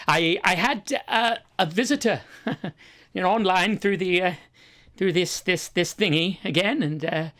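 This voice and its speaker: A woman's voice: a little slow, fairly bright tone. A woman playing a gullible, flustered old man of eighty-four in improvised comedy, stammering over a video call. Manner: flustered, stammering, rising to anxious pitch.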